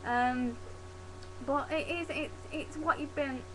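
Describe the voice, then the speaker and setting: A young woman from Yorkshire with a softened Yorkshire accent, chatting casually to her webcam. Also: high-pitched, fairly even intonation, slow, background noise. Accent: Yorkshire, softened toward standard British English. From Yorkshire, England. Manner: chatty, demonstrates dialect words, laughs at herself. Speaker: vlogger. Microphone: webcam mic.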